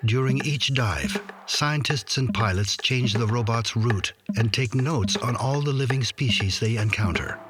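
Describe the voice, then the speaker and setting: A man narrating a nature documentary in a measured scripted delivery. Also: mid-range voice. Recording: music bed behind voice-over. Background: music.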